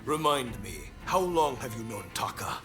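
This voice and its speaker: An actor playing a haughty, powerful chief minister in a samurai-era video game, imperious and disdainful in a measured way. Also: male voice, medium pitch, expressive, music in the background, lightly acted. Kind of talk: video game cutscene acting.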